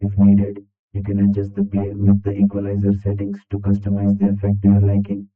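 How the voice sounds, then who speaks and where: A man's voice: lowish voice, completely flat delivery, fairly quick. A man narrating a scripted tutorial through a robot voice filter. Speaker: tutorial presenter. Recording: phone-like band, echoey, distant, faint music.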